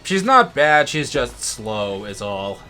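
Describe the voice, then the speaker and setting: A man's voice: medium pitch, very animated, slow, pushed and bright, faint music behind. A man co-hosting a let's play, chatting casually as he comments on a video game. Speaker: co-host of a gaming let's play. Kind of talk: casual gameplay commentary chat.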